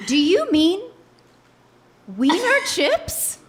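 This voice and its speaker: A woman co-hosting a mock old-time radio ad, speaking in an exaggerated, deliberate way. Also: extremely high pitch, very animated, in a large hall. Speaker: co-host reading mock sponsor spot. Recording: live theater stage, faint noise.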